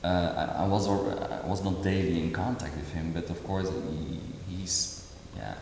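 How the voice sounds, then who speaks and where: Deep-voiced halting man in an echoey hall. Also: creaky, a little mellow. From Indonesia. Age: 40s. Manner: halting, many fillers and restarts. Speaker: artist. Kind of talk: interview. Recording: echoey hall with faint noise.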